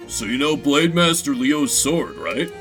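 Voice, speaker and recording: A man's voice: fairly high pitch, expressive, unhurried, a bit bright. A man voicing a casual, eager rodent castle worker in a video game. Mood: surprised, gossipy. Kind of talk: voiced story video game dialogue. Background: music.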